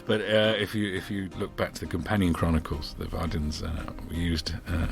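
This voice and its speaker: A man's voice: sits low, little pitch movement, normal speed, creaky, music in the background. A man who wrote the episode, chatting casually behind the scenes and often stopping to restart his phrases. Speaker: writer of the episode.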